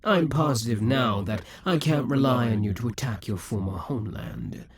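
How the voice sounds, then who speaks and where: A man voicing a possessive, scheming male demon king in a scripted audio roleplay, speaking in slow, breathy half-whispers. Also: mid-range voice, lively intonation. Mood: frustrated, incredulous.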